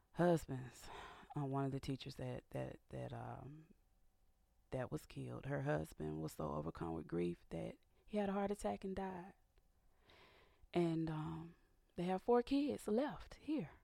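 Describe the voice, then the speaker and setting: A woman hosting a faith-based radio talk show, raspy and a little hoarse from sinus trouble, speaking earnestly and building to a preacher's fervor. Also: deep voice, unhurried, warm tone, dry recording. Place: radio studio.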